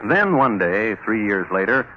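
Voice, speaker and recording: Wry deadpan radio storyteller, lively intonation. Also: male voice, fairly low pitch, unhurried. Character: dry, wry crime-story narrator. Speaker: narrator. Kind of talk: 1950s radio scripted narration. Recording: muffled, noisy 1950s radio recording.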